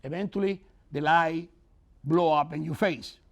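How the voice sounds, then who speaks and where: Earnest high-voiced older man, slow speech. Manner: earnest, preaching, with swings in loudness and simple phrasing. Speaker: author addressing an audience. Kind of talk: closing speech.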